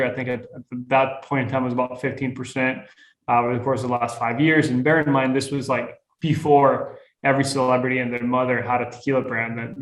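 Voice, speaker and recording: Young man with a flat, even voice in an echoey room. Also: medium pitch. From Costa Rica. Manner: relaxed, rambling with many fillers and restarts. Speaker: guest, tequila brand founder. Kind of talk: casual interview storytelling.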